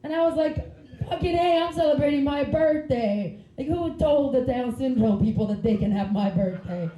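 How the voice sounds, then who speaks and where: A woman's voice: high voice, moderate pace, dark tone, echoey room, poor audio. A woman, doing a rambling, giggly stand-up comedy set on a club stage. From Finland. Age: 30s. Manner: chatty, giggly, rambling asides.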